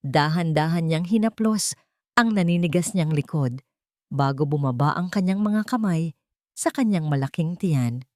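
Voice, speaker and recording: A woman's voice: on the low side, darkish tone, no echo, clean studio sound. A woman with a Filipino accent narrating a dramatic romance story from a script as a storyteller.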